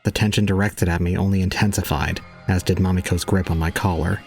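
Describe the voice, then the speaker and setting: Man acting a deep-voiced, resigned young student. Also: a little fast, vocal fry, slightly rough, soft background music, exaggerated voice. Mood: brooding. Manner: solemn, calm, unemotional. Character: detached, resigned young male student recounting his own ordeal. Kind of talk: scripted first-person audio drama narration.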